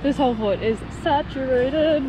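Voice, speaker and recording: A woman's voice: sits high, moderate pace, a loud crowd. A woman on a beach walk, speaking from some distance from the camera and lightly complaining. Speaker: hiking companion. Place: outdoors on a beach.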